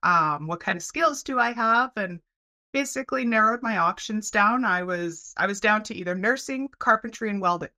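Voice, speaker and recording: Bright-voiced Canadian woman guest. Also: medium pitch, expressive, normal speed. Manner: friendly, laughs often. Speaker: guest, welding program head. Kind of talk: casual interview chat. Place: home room, video call. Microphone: headset.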